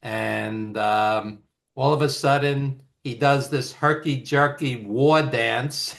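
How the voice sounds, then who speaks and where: A man's voice: mid-range voice, creaky, a bit bright. An older man from Brooklyn with a Brooklyn accent, telling rambling, nostalgic stories as an interview guest. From East Flatbush, Brooklyn, New York. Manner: rambling, nostalgic, with fillers and restarts. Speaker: guest, author and filmmaker. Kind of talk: casual storytelling in an interview.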